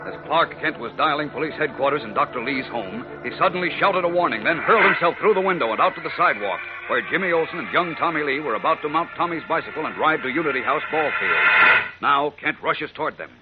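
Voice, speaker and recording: A man's voice: fairly high pitch, animated, fast talker. A man giving suspenseful, emphatic scripted narration in a 1940s radio style on a dull old recording. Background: dramatic music.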